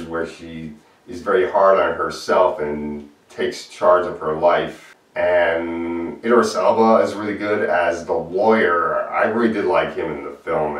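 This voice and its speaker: Guy with a real low voice doing a movie review, kinda echoey room, sits back from the mic. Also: distant mic. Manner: earnest, plain-spoken, repeats praise. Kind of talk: solo film review.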